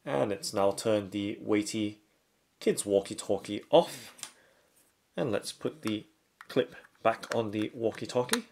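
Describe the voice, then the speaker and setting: A man giving a casual hands-on product demonstration, talking step by step in short, halting phrases. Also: lowish voice, creaky, a little mellow, a little hiss or hum. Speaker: product reviewer.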